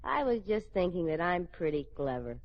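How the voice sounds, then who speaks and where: An actress playing a playful, scheming sitcom wife in an old radio comedy, with a coy, wheedling delivery. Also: female voice, lowish voice, sing-song, normal speed. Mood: sleepy, smug. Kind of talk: 1940s-50s radio sitcom acting. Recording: dull old radio recording.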